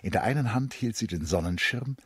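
A man narrating a literary radio drama in a measured, contemplative manner. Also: fairly low pitch, animated, slightly rough, dry recording, clean studio sound. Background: plucked string music.

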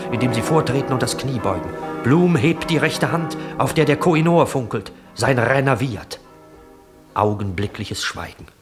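A man narrating a 1960s German radio play in measured, formal scripted delivery. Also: mid-range voice, lightly acted. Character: measured narrator reading out stage scenes.

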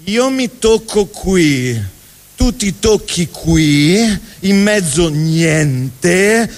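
A man performing a theatrical spoken monologue on stage, with emphatic, rhetorical delivery and deliberate repetition. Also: high-pitched. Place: live stage in a reverberant venue. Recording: reverberant hall, live stage sound.

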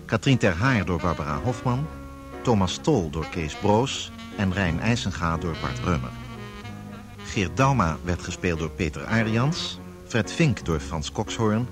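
A man reading scripted radio drama credits as an announcer. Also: sits low. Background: music.

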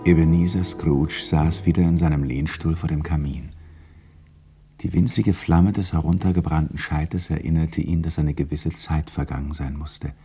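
A man's voice: rumbling low, fairly even intonation, moderate pace, mellow, breathy edge, soft background music. A man giving scripted storytelling narration as the narrator of a 1960s radio play.

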